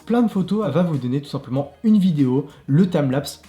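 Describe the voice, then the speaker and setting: A man's voice: fairly high pitch, expressive, fast talker, dark tone, reverberant, soft background music. A man with a French accent hosting a casual, upbeat tech review vlog and chatting straight to his viewers. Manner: chatty, upbeat, laughs at himself. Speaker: tech reviewer, channel host. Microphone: clip-on mic.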